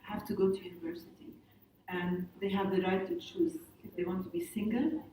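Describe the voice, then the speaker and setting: A woman's voice: fairly low pitch, fairly even intonation, normal speed, dark tone. A woman journalist from Jordan, telling her story on a conference panel in short, emphatic sentences. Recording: very echoey hall, distant mic, faint noise.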